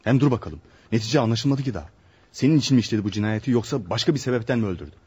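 A man's voice: mid-range voice, animated, rapid-fire, dark tone, slightly rough. An actor playing a brusque, cynical male lawyer in a radio play, scolding and insistent with his friend. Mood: dismissive. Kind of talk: radio theatre acting.

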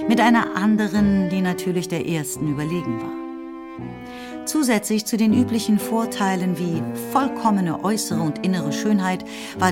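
Lady narrating a radio play, kinda low voice, dry ironic tone, music playing behind. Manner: measured, ironic. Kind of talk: scripted literary narration.

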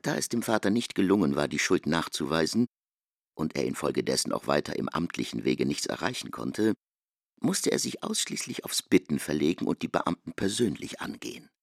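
A man narrating a literary radio play in a measured, expressive reading. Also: a bass voice, vocal fry, dry recording, clean studio sound.

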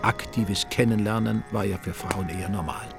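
A man's voice: lowish voice, fairly even intonation, normal speed, vocal fry, music in the background. A man narrating a radio drama in a dry, ironic, literary style. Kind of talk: scripted, wry literary narration.

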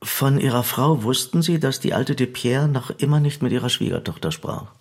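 An actor playing an insistent, formal investigating official who questions with insinuation in a radio drama. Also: male voice, mid-range voice, lively intonation, moderate pace, a little mellow, breathy edge. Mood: probing, insinuating.

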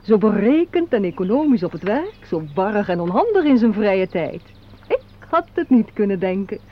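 An actress playing an excitable, fussy receptionist who chatters and exclaims theatrically in a radio play. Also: female voice, medium pitch, normal speed. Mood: fussing, teasing. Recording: dull, noisy old radio recording.

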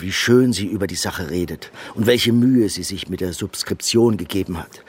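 An actor in a German radio drama playing a worldly Venetian painter and go-between, smooth, insinuating and coolly persuasive. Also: male voice, medium pitch.

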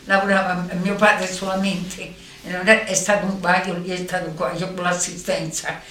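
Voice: medium pitch, flat delivery, moderate pace, pushed and bright. An elderly person, recalling things in spontaneous spoken testimony. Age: 70s or older. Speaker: eyewitness recalling wartime. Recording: distant, echoey, slightly noisy interview.

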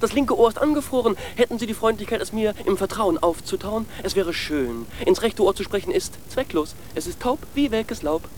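An actor playing an eccentric, half-deaf young prince who speaks in playful rhymes in a children's radio play. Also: male voice, high voice, animated, brisk, hardly any pauses, slight background noise. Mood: whimsical. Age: young adult.

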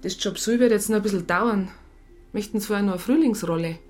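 A woman's voice: mid-range voice, fast talker, a little mellow, faint music behind, close to an everyday voice. Woman playing a blunt, sardonic police psychologist. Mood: resigned, sardonic. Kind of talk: naturalistic radio drama dialogue.

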